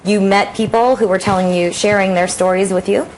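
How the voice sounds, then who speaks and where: A woman's voice: medium pitch, steady tone, a little fast, slight background noise, poor audio. A woman hosting a TV interview show, asking friendly prompting questions. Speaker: TV show host.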